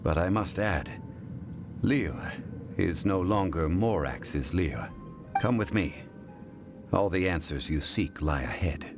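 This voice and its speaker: A composed, learned contract-keeper speaks in a very deep voice that still moves expressively up and down. His phrasing is formal and measured. Game music plays behind him. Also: lively intonation, exaggerated voice.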